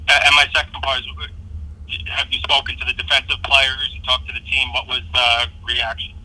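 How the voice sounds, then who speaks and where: A man's voice: medium pitch, flat delivery, brisk, bright, forceful tone, rough recording. A man questioning a coach as a sports reporter on a phone press conference.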